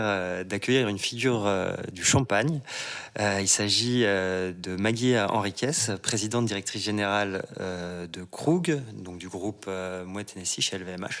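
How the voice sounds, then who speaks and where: A man hosting a podcast interview, his voice low-pitched and smooth. He hesitates constantly, filling gaps with drawn-out pauses as he works toward each question. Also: a bit bright. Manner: hesitant, many fillers. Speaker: podcast host.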